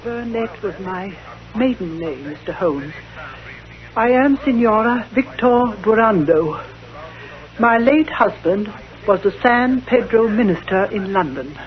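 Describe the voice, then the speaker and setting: An actress playing a grieving, vengeful diplomat's widow in a radio drama, speaking formally and with dramatic passion. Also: female voice, mid-range voice, expressive, a little slow, natural voice. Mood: grave, bitter. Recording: dull old radio recording.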